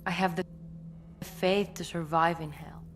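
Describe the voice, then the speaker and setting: An actress playing a devout cartel priestess in a video game cutscene, solemn and unyielding. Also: female voice, lowish voice, steady tone, a little slow, slight background noise, natural voice. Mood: defiant. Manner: solemn, prayerful, resolute.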